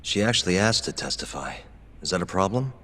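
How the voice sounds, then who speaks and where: A man's voice: deep voice, very animated, fairly quick, pushed and bright, exaggerated voice. A man playing a cool, persistent young lawyer-detective in an English-dubbed game drama, with a probing manner. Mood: composed, formal.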